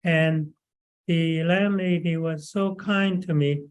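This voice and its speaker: A man in his nineties from Canada tells his memories at a slow pace. His voice sits a little high and stays very flat in pitch. He pauses often and fills the gaps with small sounds. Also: clear, resonant tone. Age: 90s. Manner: slow, halting, many pauses and fillers. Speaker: webinar speaker. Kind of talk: recalled life story.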